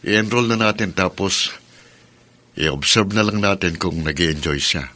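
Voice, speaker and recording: A man's voice: deep voice, a little slow, pushed and bright. An actor playing a devoted, easygoing young husband and father in a Tagalog radio drama. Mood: tender, agreeable.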